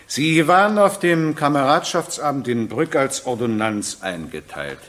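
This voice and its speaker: A man's voice: medium pitch, expressive, unhurried, a bit bright. An actor playing a composed male presiding judge, formal and businesslike, in a German courtroom radio play.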